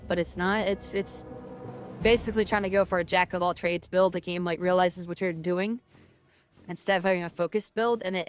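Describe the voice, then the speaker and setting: A woman talking through her game as a streamer, rambling and filling her talk with "like" and restarts, with a loud voice. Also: fairly low pitch. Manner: rambling, lots of fillers and restarts. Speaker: gaming streamer. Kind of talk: casual game commentary.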